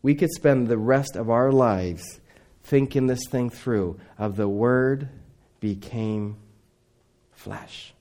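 A middle-aged man who grew up in Indonesia, preaching a sermon with dramatic swings between loud emphasis and near whispers. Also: medium pitch, slow.

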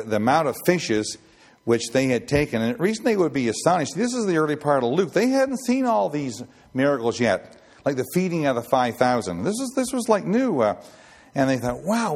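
Raspy older guy in his 60s preaching on scripture, lots of uhs and restarts. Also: medium pitch, big pitch swings, brisk, darkish tone. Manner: rambling, many fillers and restarts. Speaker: ship chaplain preacher. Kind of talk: informal Bible teaching.

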